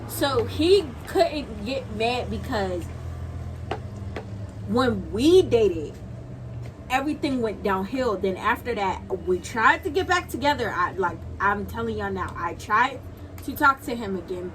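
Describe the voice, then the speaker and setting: A young adult woman in casual storytime chat, slangy and halting, repeating phrases for emphasis. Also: fairly high pitch, lively intonation, fairly bright tone. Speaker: video creator, guest co-storyteller. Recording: clearly noisy home video recording.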